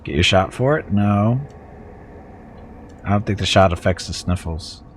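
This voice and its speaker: A deep, low-pitched man talks through a game on a livestream, his voice thick and congested from a head cold. He is chatty and wry, and answers his chat as he plays. A faint hum of background sound sits under his voice. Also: unhurried. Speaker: streamer playing a video game. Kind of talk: casual livestream chat and reading game text aloud.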